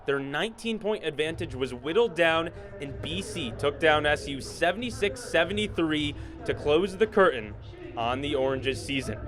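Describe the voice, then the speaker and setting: A man's voice: fairly high pitch, lively intonation, normal speed, music in the background. A man working as a sports reporter and play-by-play announcer, delivering scripted reports and game commentary.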